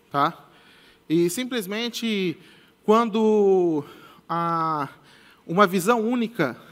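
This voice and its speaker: Young Brazilian male presenter, high voice, loose and repetitive delivery, live stage mic. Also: fairly bright tone. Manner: casual, repeats "tá?" as a tag, many restarts. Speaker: conference speaker. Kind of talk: technical conference talk. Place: large conference stage with audience. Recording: long hall reverb.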